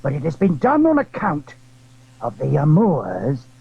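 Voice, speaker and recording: A man playing an anxious, courteous landlord who confides secrets in a halting, earnest way in an audio drama. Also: fairly high pitch, wildly swooping pitch, creaky, slightly rough, phone-quality sound, heavily acted. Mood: secretive, earnest.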